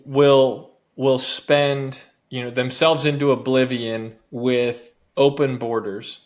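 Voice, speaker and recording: Earnest man arguing policy as a guest. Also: medium pitch. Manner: earnest, persuasive, builds points with repetition. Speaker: guest author and advocacy director. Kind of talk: interview, making an argument.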